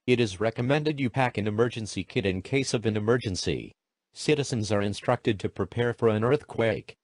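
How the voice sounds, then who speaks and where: A man's voice: on the low side, animated, fairly quick, dry recording. A man reading scripted emergency alerts as an announcer, in a formal, impersonal, robotic way.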